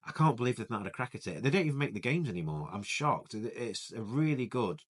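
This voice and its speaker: A man's voice: medium pitch, sing-song, quick pace. A man co-hosting a casual discussion of films and games, talking as an enthusiastic, informal fan. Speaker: podcast co-host.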